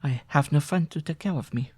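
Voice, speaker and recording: Man putting on a soft young foreign lady. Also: a little high, fairly quick, breathy edge, voice pushed up. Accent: foreign accent put on by reader. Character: young foreign woman, small, quiet and sad.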